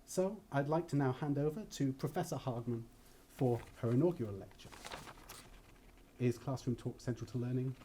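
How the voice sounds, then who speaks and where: A man delivers a formal introduction in an academic setting. His voice is mellow and relaxed, and his phrasing is measured and articulate. A faint layer of room noise sits behind him. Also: medium pitch. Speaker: academic colleague introducing a speaker. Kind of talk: formal prepared introductory speech. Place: lecture hall at a university event.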